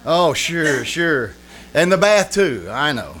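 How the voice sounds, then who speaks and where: A man's voice: on the high side, big pitch swings, takes it slowly, fairly bright tone, heavily acted. A man playing a distracted, defensive husband in an old-time radio comedy, hesitant and exasperated.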